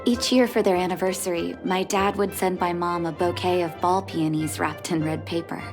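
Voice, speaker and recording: Video game doctor, young woman, played by an actress: slightly low voice, composed, caring, soft-spoken, music underneath. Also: fairly even intonation, normal speed, lightly acted. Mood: wistful, reflective. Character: gentle, composed adult female doctor. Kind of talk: acted video game dialogue. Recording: studio voice over game music.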